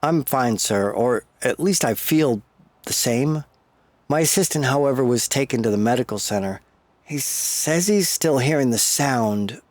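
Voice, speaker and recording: An actor playing an uneasy, apologetic field researcher in an audio drama, speaking in a halting, formal and deferential way. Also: male voice, medium pitch, lively intonation, close to an everyday voice. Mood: uneasy, perplexed. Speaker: field researcher reporting to his boss.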